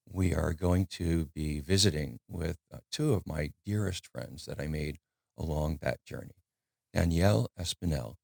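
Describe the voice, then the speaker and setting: A middle-aged man with an extremely deep, gravelly voice hosts a conversation. He tells his story in a relaxed, reflective way with small restarts, and the sound is close and dry. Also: no room sound. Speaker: podcast host. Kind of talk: conversational introduction.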